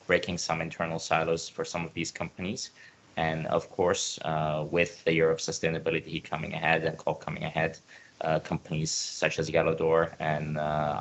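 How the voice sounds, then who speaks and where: A man speaking as a company representative on a panel, steady, with frequent fillers. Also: rumbling low. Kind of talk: panel discussion.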